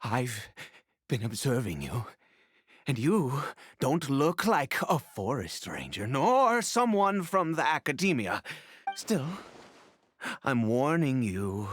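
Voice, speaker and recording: A man's voice: mid-range voice, very animated, slow pace, husky, no room sound, full character voice. A man voicing a guarded, haughty, secretive man in a video game, with an imperious, curt and theatrical delivery. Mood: wary warning. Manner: imperious, curt, dramatic, clipped.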